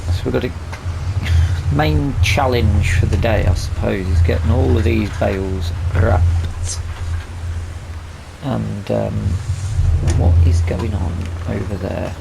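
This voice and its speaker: Man, very creaky voice, mid pitch, casual let's-play host, rambling, thinking aloud, clear vehicle noise behind. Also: slow pace. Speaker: gaming video host.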